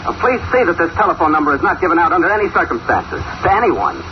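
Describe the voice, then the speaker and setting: A man's voice: on the high side, sing-song, quick pace. An actor playing an edgy, scheming man, curt and evasive, in a 1940s radio drama style. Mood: irritated, defensive. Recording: noisy dull vintage radio recording.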